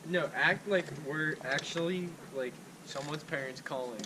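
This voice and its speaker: A man's voice: a little high, a little slow. A young American man chatting casually with friends as a vlogger, recorded on very noisy amateur video.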